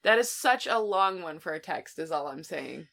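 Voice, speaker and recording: A woman's voice: low-pitched, animated, normal speed, vocal fry, fairly bright tone. A woman co-hosting a podcast, talking casually and ramblingly in an informal, teasing film review chat. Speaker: podcast co-host.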